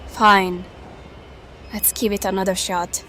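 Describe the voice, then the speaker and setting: An actress playing a young, foul-mouthed, embattled magic user in an audio drama, terse and full of sighs. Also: female voice, medium pitch, bright, forceful tone. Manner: terse, sighing. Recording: noisy ambience behind the voice.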